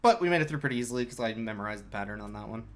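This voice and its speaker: A man's voice: fairly low pitch, expressive, racing. A young man casually commentating his speedrun of a game, explaining tricks with wry, self-mocking asides. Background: game music.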